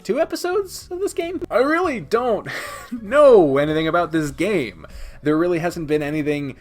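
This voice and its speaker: Animated, blunt male game reviewer over music. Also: high voice, moderate pace. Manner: sweary, blunt, chuckling asides. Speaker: video host reviewing and ranking games. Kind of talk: casual opinionated countdown commentary, part scripted.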